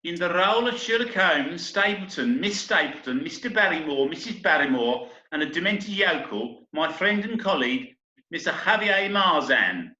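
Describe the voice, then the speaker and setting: A man's voice: fairly high pitch, lively intonation, normal speed, sharp tone. A man playing an earnest, slightly flustered theatre troupe leader addressing the audience in a comic play reading. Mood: earnest, announcing. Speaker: amateur actor in a remote group reading. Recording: echoey, dull internet call recording.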